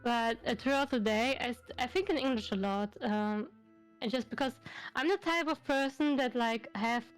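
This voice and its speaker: A woman's voice: a little high, normal speed, soft background music. A woman from Belarus with a German accent, Russian her first language, chatting casually as a VTuber guest with lots of fillers and restarts. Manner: rambling, many fillers and restarts. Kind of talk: casual chat on a livestream.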